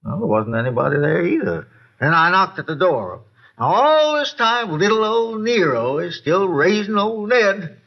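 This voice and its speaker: A man's voice: high-pitched, normal speed, gravelly, exaggerated voice. An actor playing a folksy, boozy older American man who rambles and repeats himself in an old radio drama. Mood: rambling, excitable. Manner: rambling, repetitive, folksy, excitable. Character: rambling, boozy, folksy male neighbor witness. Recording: dull, lo-fi old radio recording.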